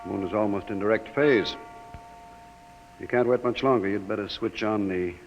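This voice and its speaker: An actor playing a skeptical, authoritative bureau chief with a commanding, measured manner in a 1950s radio drama. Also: male voice, on the low side, soft background music, natural voice. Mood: measured, guarded. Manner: commanding, measured, incredulous at times. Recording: muffled old radio recording.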